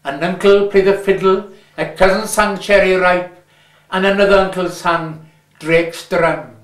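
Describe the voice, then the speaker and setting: A man with a Welsh accent reading a story aloud, measured and lingering on his words. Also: high voice, steady tone. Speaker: reader. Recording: roomy echo.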